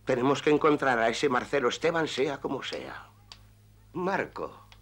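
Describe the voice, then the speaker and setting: A man's voice: medium pitch, big pitch swings, normal speed, fairly bright tone. An actor in a Spanish cartoon dub playing a worried father who runs a puppet theatre, anxious and urgent.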